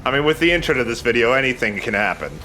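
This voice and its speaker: A man's voice: medium pitch, animated, very fast, sharp tone, a little hiss or hum. A man hosting a video game let's play, chatting casually in a jokey, rambling, profane way. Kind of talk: casual gameplay commentary with co-commentary banter.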